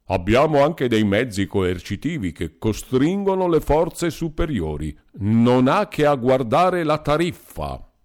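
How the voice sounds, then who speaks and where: A pompous bearded quack doctor, as a male reader plays him, pitching his séances with grand salesman's patter. His mid-pitched voice moves expressively up and down. It is delivered as an audiobook character. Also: big pitch swings, a little slow.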